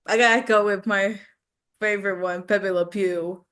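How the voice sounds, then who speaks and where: A woman's voice: mid-range voice, fairly even intonation, moderate pace, fairly bright tone, smooth. A woman student chatting casually and hesitantly, thinking aloud as she goes. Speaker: voice acting student. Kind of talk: casual chat.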